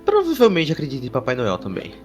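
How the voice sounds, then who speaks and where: A man's voice: on the high side, wildly swooping pitch, fast talker, darkish tone. A man with a Brazilian Portuguese accent playing a menacing, obsessed war-veteran journalist in an audio drama. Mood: sardonic, grim. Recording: music under the voice.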